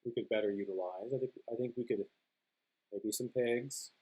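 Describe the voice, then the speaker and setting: A man's voice: mid-range voice, steady tone, normal speed, mellow, slightly rough, rough recording. A man joining his wife's podcast as a guest, reading listener questions and answering in an easygoing way. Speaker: guest, host's husband. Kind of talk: casual Q&A chat.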